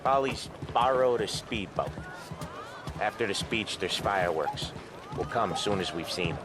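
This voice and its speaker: A man's voice: fairly low pitch, lively intonation, a little slow. An actor playing a streetwise mobster in a video game, giving orders in terse, cool, slangy tough-guy talk. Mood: cool, assured. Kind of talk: video game cutscene acting. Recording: music playing behind the voice.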